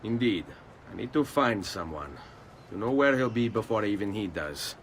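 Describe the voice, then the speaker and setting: A man's voice: on the low side, expressive, normal speed, slight background noise. An actor playing a determined young nobleman turned assassin with an Italian accent, courteous but direct in a game cutscene. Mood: focused. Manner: earnest, polite but firm. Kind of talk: video game cutscene acting.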